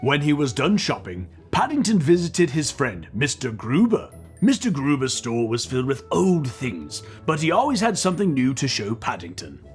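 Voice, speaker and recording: A man reading a children's story aloud in an animated, expressive way, with playful banter as a co-host. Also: medium pitch, normal speed, hardly any pauses, soft background music. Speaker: children's book reader and comedy co-host.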